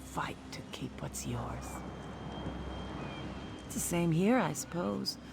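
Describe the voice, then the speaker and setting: A woman's voice: on the low side, lively intonation, slow pace, vocal fry, very noisy, heavily acted. A woman voicing a haughty, worldly female governor in a video game, with a pompous, condescending manner. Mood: wistful, cynical. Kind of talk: dramatic video game dialogue.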